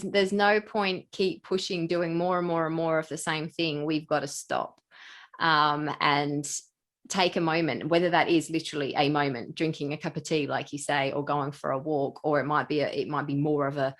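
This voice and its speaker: A middle-aged British woman hosting a podcast interview, talking quickly and energetically and laughing often. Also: sits low. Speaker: podcast host. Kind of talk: conversational interview.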